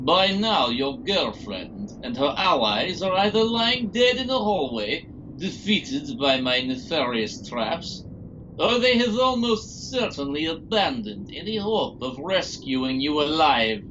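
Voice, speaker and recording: An actor playing a smug, gloating villain doctor in an audio drama, pompous and taunting in a theatrical, deliberate way. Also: male voice, a little high, very animated, lo-fi sound, noisy background.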